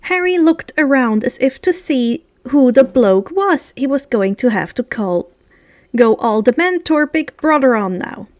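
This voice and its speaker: A woman narrating fiction aloud in an expressive, informal storybook style. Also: sits high, measured pace, no echo, studio quality. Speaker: fan fiction reader.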